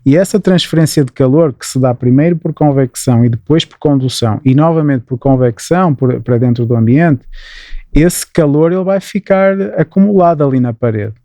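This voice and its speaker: A man's voice: medium pitch, brisk, warm tone, no echo, studio quality. A middle-aged man with a Porto Portuguese accent, explaining things in an interview, often adding "né" and restarting his phrases. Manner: explanatory, frequent "né" tags and restarts. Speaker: guest engineer. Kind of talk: interview answers.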